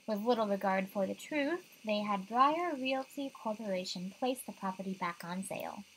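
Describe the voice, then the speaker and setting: A woman narrating a gothic haunted mansion tale in a solemn, hushed, deliberate way, like a dramatic game prologue. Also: mid-range voice, a little hiss or hum, natural voice, poor audio. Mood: solemn, ominous.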